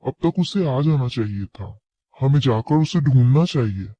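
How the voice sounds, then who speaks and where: Clever, reassuring storybook crow played by a man, dark soft tone, slightly dull muffled sound. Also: mid-range voice, lively intonation, unhurried, dry recording, close to an everyday voice. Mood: resolute. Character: clever, helpful male crow friend in a children's fable.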